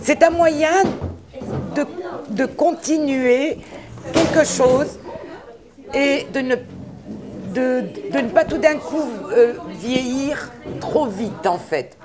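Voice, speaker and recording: A woman giving a personal testimony, halting as she searches for her words. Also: high voice, crowd noise. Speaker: volunteer. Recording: music playing behind the voice.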